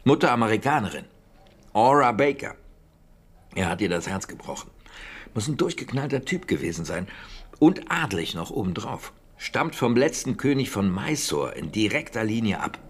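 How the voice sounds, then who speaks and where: An actor playing a talkative, defensive wartime British intelligence officer in a German radio drama, speaking conversationally and emphatically. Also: male voice, on the low side, sing-song, normal speed, husky. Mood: animated, confiding. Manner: conversational, emphatic, with hesitations and scoffing.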